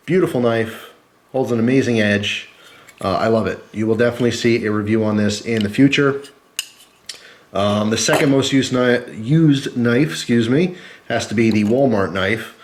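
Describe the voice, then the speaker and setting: A man's voice: mid-range voice, slight background noise. A man with a stuffy, head-cold voice chatting casually and informally to camera as the host of a gear review vlog, rambling along in a conversational way. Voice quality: congested, stuffy-headed. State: sick with a cold and flu. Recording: echoey, roomy sound.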